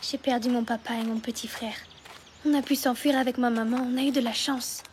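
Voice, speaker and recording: Woman as a high, gentle cartoon schoolgirl. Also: fairly even intonation, brisk, a little hiss or hum, lightly acted. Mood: sad, plain. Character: gentle young refugee schoolgirl, new in class. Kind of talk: animated cartoon dialogue.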